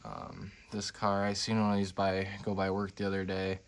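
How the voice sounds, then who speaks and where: Low, raspy, monotone American man. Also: normal speed, a little hiss or hum. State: slightly raspy voice today. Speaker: model railroad video host. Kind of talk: casual unscripted showcase talk.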